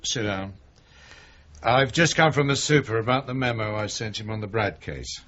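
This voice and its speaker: Low, husky, weary police chief. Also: male voice, animated, moderate pace, bright, forceful tone. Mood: brisk, curt. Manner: blunt, clipped, swearing. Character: gruff, weary male police chief inspector, disgruntled and blunt. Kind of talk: radio drama acting. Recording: muffled 1980s radio drama recording.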